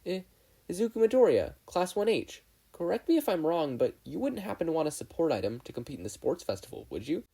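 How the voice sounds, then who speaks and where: Teen boy inventor, acted by a narrator: flustered, eager, swooping pitch, husky edge. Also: sing-song, fast talker, warm tone, heavily acted, poor audio. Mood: shy, eager. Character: nervous, earnest, self-deprecating teenage boy inventor. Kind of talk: fan fiction audiobook character voice.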